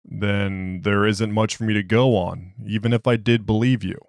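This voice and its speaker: A man's voice: lowish voice, steady tone, moderate pace, darkish tone, dry recording, lightly acted. A man voicing a skeptical research doctor who questions a prisoner in formal, clipped, dismissive speech. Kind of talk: dramatized interview log.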